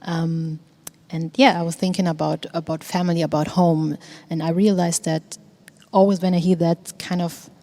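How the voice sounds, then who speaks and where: A woman in a reflective group conversation, speaking thoughtfully with frequent pauses and a voice that often trails off softly. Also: fairly low pitch, level tone. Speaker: discussion participant. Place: exhibition hall in a museum.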